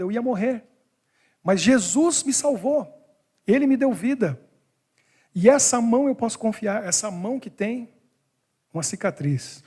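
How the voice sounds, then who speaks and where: A man's voice: high voice, a little mellow, breathy edge. A man preaching a sermon as pastor, talking to his congregation in a conversational way. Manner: conversational, talks to the congregation directly. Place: church hall in Brussels.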